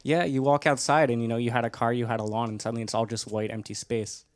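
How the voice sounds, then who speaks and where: A man's voice: mid-range voice, level tone, fast, no echo. A man giving an informal, reflective explanation as a participant in a group discussion. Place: live group event.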